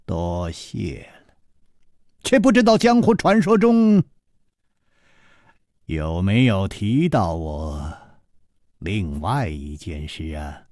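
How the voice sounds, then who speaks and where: A man playing a suave, teasing roguish hero, unhurried. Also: low-pitched, big pitch swings, a little mellow, dry recording, clean studio sound, exaggerated voice. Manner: unhurried, playful, mocking. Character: suave, teasing roguish martial-arts hero, master thief.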